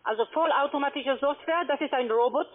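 A woman with a foreign, non-native German accent giving a halting telephone sales pitch, repeating and rephrasing as she explains. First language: not German. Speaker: call-centre sales agent pitching trading. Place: phone call. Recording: tinny, narrow-band phone line.

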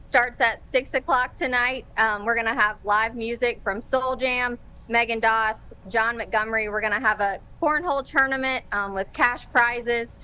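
Bright-voiced young woman in a live interview. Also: fairly high pitch, fairly even intonation, normal speed, few pauses, smooth. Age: young adult. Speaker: baseball team marketing executive, interview guest. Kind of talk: live TV interview, upbeat event rundown. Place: open-air stadium concourse.

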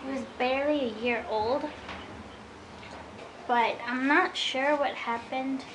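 A woman's voice: high voice, slow, noisy background. A woman chatting casually and a little hesitantly in an informal, rambling way as she works as a dog groomer. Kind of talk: casual hands-on vlog chat while working. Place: indoor grooming room with metal tub. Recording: music playing in the background. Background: radio music.

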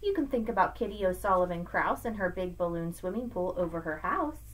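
A middle-aged woman teacher giving an expressive, emphatic read-aloud lesson for children, recorded on a webcam in a room at home. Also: fairly low pitch, fairly quick, rough recording. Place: small room at home with bookshelves, indoors. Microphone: webcam at arm's length.

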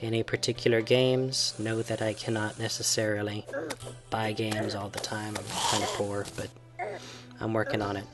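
A man, sick and croaky, casually giving a channel update as a gaming host. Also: lowish voice, little pitch movement, normal speed, over loud music. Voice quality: creaky. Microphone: desktop microphone.

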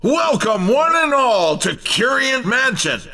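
An actor playing an excitable, upbeat male race commentator in a video game, with showy, hyped announcer delivery. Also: extremely high pitch, slow, recorded from a distance, full character voice. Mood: hyped, welcoming.

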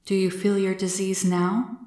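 A woman voicing a gentle, curious, wistful male alien in a story, with slow, measured, formal phrasing, over a noisy background. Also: mid-range voice, level tone, roomy sound, lightly acted. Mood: hushed, curious.

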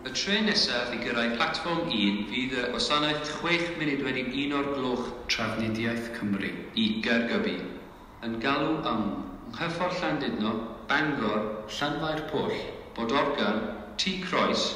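A man's voice: medium pitch, unhurried, pushed and bright. A man reads formal automated railway station announcements in clipped, pieced-together phrases over a public-address system. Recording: distant echoing station PA, faint noise.

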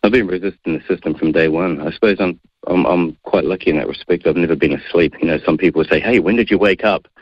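A man's voice: deep voice, fast talker, few pauses, dry recording, highs cut off. A middle-aged man with a New Zealand accent, answering radio interview questions assertively and defiantly. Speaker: guest.

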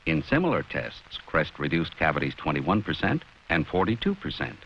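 A man's voice: extremely low pitch, sing-song, moderate pace, vocal fry. A man announcing a scripted 1960s TV commercial with authority, on a hissy vintage soundtrack. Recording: dull vintage film soundtrack with hiss.

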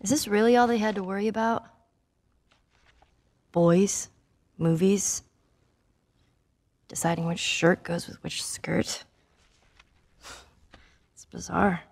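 An actress playing a sullen, defiant teenage girl in a video game, husky and wry. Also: medium pitch, fairly even intonation, slow pace, pauses often, a little mellow. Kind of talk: dramatic video game acting.

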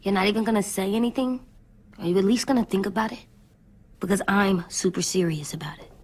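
A woman's voice: medium pitch, a little fast, slightly rough, lightly acted. A woman voicing an earnest, stubborn little boy in an apocalypse video game, with a childlike, plain-spoken, solemn delivery. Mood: insistent. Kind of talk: naturalistic video game acting.

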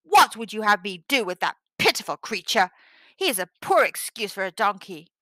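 A woman voicing a greedy, hot-tempered male Mongol tyrant in a storybook, with loud, commanding delivery. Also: medium pitch, a little slow, no room sound. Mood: furious, scornful. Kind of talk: storybook character voice.

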